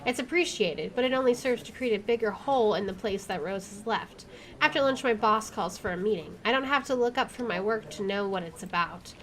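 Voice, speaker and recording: A woman's voice: mid-range voice, fairly quick, fairly bright tone. A woman doing playthrough commentary and reading game text aloud, with a playful, wisecracking manner. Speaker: video game let's player.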